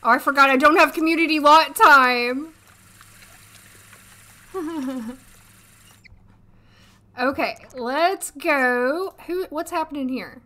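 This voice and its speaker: A woman in her thirties, hoarse today, chatting casually and thinking aloud as she streams a life-sim game from a desk at home. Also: sits high, takes it slowly, long pauses, sharp tone. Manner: chatty, thinking aloud, frequent little laughs. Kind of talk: casual gameplay commentary. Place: home room, seated at desk.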